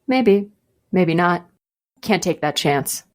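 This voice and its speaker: A woman's voice: fairly low pitch, animated, slow pace, darkish tone. An actress playing a nervous, self-mocking young woman who feels queasy, in a radio play. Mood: anxious. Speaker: actor.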